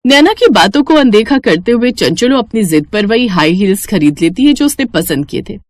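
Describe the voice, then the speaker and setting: A woman's voice: mid-range voice, expressive, normal speed, a little hiss or hum. A woman reading scripted story narration for a cartoon.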